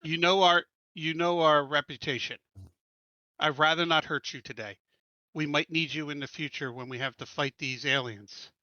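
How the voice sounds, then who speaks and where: A man with a higher, very bright and sharp voice plays a tabletop character. He talks fast and restarts his lines. The sound is dry and a bit dull, like a voice call. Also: steady tone, no echo. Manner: quick, stammering restarts. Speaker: player. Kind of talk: tabletop roleplay, in-character speech.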